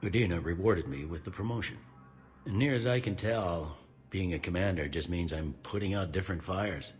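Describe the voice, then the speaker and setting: A man's voice: sits low, normal speed, soft background music, lightly acted. A man playing a weary, candid middle-aged police commander in a video game, plain-spoken and wry. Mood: wry, matter-of-fact.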